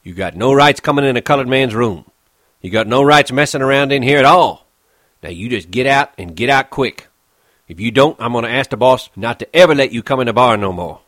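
A man voicing a bitter, guarded stable hand who speaks coldly and flatly. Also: fairly low pitch, brisk, dry recording, studio quality, lightly acted. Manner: cold, then dull and flat.

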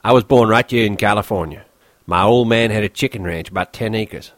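A man voicing a bitter, lonely older ranch hand who talks gruffly in rough rural dialect. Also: lowish voice, fairly quick, no echo, studio quality, close to an everyday voice. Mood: brooding.

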